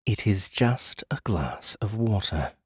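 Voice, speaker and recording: A slow, deep, menacing fae captor. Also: male voice, expressive, slightly rough, no room sound, clean studio sound, exaggerated voice. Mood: calm, probing. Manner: slow, measured, quietly taunting. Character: calm, menacing male fae captor, smugly possessive. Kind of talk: audiobook dramatic acting.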